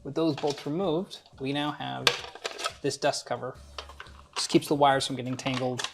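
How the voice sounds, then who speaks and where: Casual man with a creaky voice. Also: medium pitch, fairly even intonation, moderate pace, very noisy. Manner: informal, talking through each step as he works. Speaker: host of a DIY build tutorial. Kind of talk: casual hands-on instructional narration.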